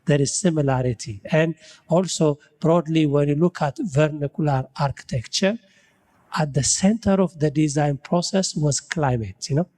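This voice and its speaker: A man's voice: fairly high pitch, moderate pace, a little mellow, big-room sound. A man from Ethiopia speaking on a panel about buildings and climate, thinking aloud and tagging "you know" onto his sentences. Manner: frequent "you know" tags, searching for words. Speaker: building science researcher. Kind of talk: panel discussion.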